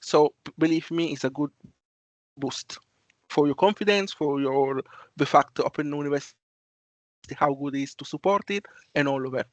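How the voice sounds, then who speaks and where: A man's voice: a little high, normal speed, long pauses, dry recording. A young man with an Italian accent, a student intern presenting on a video call, speaking hesitantly with many restarts. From Italy. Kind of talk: online presentation. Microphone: video call mic, cutting in and out.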